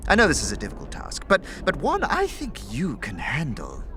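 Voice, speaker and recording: A man's voice: fairly high pitch, huge pitch swings, moderate pace. A man playing a nervous, courteous self-taught mage for hire in an audio drama, hesitant and effusive. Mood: earnest, uneasy. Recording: faint background noise.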